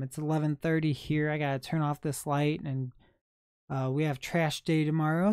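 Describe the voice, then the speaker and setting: A young man hosting a late-night livestream, chatting in a soft, calm, unhurried way. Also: on the high side, smooth, dry recording, clean studio sound. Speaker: livestream host.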